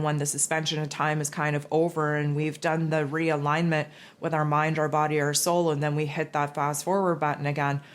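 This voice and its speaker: A raspy, hoarse woman hosting a spiritual forecast, talking in a loose, rambling casual monologue. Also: low voice. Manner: rambling, loose, with many fillers and restarts. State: hoarse from losing her voice.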